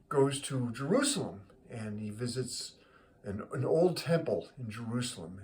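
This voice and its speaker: A man hosting a casual joke segment, speaking to listeners in a rambling, halting way and often repeating words as he goes. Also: on the low side, slight background noise. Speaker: joke teller, host of a regular joke segment.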